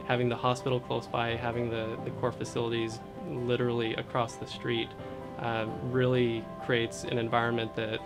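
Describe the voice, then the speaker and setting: A man's voice: medium pitch, gravelly. A man giving an interview testimonial about research facilities. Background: guitar music.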